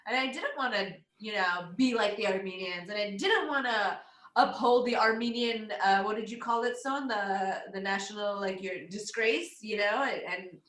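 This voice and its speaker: A woman's voice: medium pitch, moderate pace, a bit bright. A woman talking casually as a guest, laughing easily and restarting her sentences. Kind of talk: casual conversation. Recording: roomy echo.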